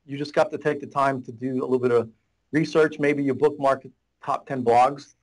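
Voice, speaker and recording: A man's voice: medium pitch, fairly even intonation, normal speed, poor audio. A middle-aged man, a data warehouse architect, explaining at length as a guest on a video call, with many restarts, from a small office. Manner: rambling, restarts, gestures a lot while explaining. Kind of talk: casual explanatory interview. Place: small office room with whiteboard. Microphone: video call webcam.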